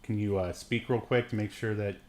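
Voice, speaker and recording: A man in his late thirties co-hosting a podcast, chatting casually about films in a halting, rambling way with trailing asides. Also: on the low side, steady tone, a little mellow. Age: late 30s. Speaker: podcast co-host. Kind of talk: casual film review chat.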